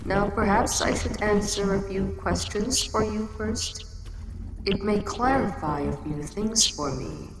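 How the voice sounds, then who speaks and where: A woman voicing an ancient, regal kaiju queen in a sci-fi audio drama, speaking in a measured, formal, matter-of-fact and protective way. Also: medium pitch, big pitch swings. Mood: patient, matter-of-fact. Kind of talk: scripted roleplay audio drama monologue. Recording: very echoey cavern-like reverb, loud background noise.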